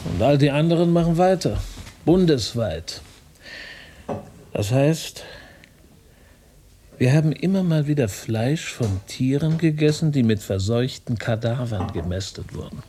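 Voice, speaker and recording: Guy acting a shaken reporter in a radio play, mellow dark voice, deliberate and bitter. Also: mid-range voice, animated, a little hiss or hum, close to an everyday voice. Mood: bitter, sardonic. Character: shaken male radio journalist, bitterly recounting grim findings. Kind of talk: naturalistic radio drama dialogue.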